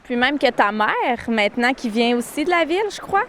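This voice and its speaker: A woman's voice: fairly high pitch, lively intonation, brisk, fairly bright tone, a little hiss or hum. A woman hosting a local TV show, asking friendly, chatty interview questions on first-name terms. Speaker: TV host, interviewer.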